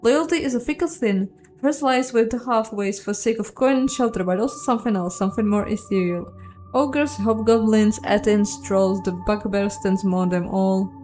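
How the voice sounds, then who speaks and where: A woman voicing a solemn narrator of a fantasy game, telling of creatures and dangers in a deliberate, dramatic way. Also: medium pitch, darkish tone, faint music behind, close to an everyday voice.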